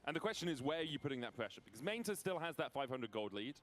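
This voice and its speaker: A man doing energetic, rapid play-by-play commentary on an esports match. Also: mid-range voice, noisy background. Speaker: esports caster.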